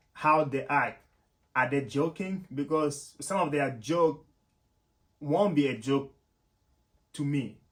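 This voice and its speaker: Man, casual vlogger. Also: fairly high pitch. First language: French. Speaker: YouTube host and software developer. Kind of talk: casual explanatory vlog talk to viewers.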